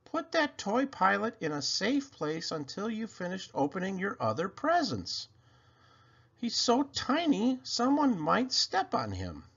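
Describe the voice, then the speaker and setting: A man's voice: sits high, wildly swooping pitch, a little slow, creaky, a bit bright, breathy edge, highs cut off, pitched above the performer's own voice, heavily acted. A man voicing a protective storybook mother who speaks in a cautioning, concerned manner. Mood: warning, concerned. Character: cautious protective mother, warning her young son.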